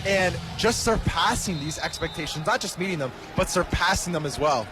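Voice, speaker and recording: A man's voice: fairly high pitch, lively intonation, moderate pace, over music. A young man giving live basketball analysis as a radio color commentator, conversational and emphatic. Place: courtside in a large arena.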